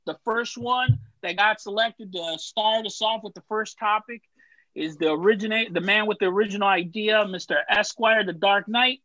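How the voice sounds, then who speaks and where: A man hosting a casual podcast, relaxed and easygoing, with many filler words. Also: high-pitched, moderate pace, no echo. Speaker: podcast host. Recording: dull, dry lo-fi sound.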